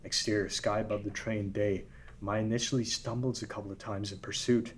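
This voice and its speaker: A man's voice: lowish voice, level tone, a little fast. A man narrating a script, reading out scene headings and stage directions. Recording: background room noise.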